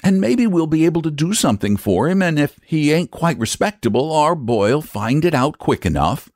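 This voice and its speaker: A soft-hearted country wife and mother from a storybook, played by a male reader. The voice is raised in pitch and very animated, with a homely, sensible, gently persuasive manner. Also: normal speed, no room sound, studio quality. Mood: kindly, reassuring.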